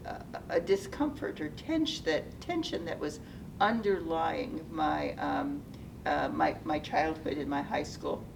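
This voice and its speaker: A woman in her seventies, Japanese as her first language, telling her life story thoughtfully, often restarting her sentences. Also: vocal fry. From United States (Seattle). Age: 70s. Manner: thoughtful, halting, with frequent restarts. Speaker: interviewee, retired nonprofit CEO. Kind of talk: reflective personal storytelling. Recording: distant mic with low rumbling noise.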